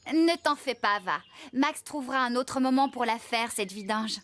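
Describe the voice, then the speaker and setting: A woman playing a kindly, caring young farmer in a children's cartoon, with a gentle, encouraging and expressive manner. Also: on the high side, normal speed, natural voice.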